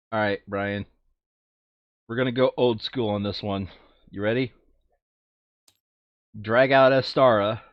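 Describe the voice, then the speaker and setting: A man with a fairly low voice talks casually as he plays a game. He often mutters to himself and hesitates while deciding. The sound is very dry and slightly muffled. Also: fairly even intonation, slow pace, long pauses, dry recording. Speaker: player setting up a co-op board game campaign. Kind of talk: casual gaming chat, thinking aloud.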